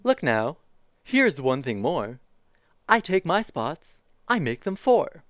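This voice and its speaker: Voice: medium pitch, sing-song, slow pace, no echo, clean studio sound, voice pushed up. Streamer doing a dopey spotted storybook creature. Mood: boastful. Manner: singsong rhyming read-aloud.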